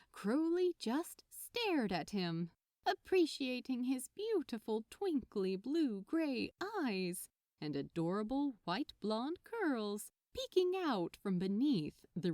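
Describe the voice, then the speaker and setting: Expressive, unhurried woman narrator. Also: on the high side, no room sound, clean studio sound. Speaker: podfic narrator. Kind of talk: scripted narration of light romantic fiction.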